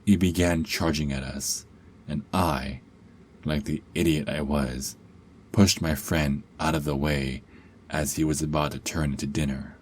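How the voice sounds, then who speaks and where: A man's voice: rumbling low, steady tone, measured pace, a little hiss or hum. A man playing a cocky, sarcastic young adult werewolf held captive, in an ASMR roleplay with a taunting, sardonic drawl. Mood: wry storytelling.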